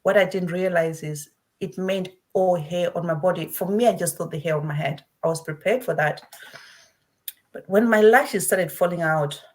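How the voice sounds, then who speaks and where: A woman from Zimbabwe, middle-aged, tells her story in a slightly low voice. She talks earnestly and often restarts her phrases, the way people do when sharing something personal. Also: normal speed, darkish tone. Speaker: guest speaker. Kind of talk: personal testimony.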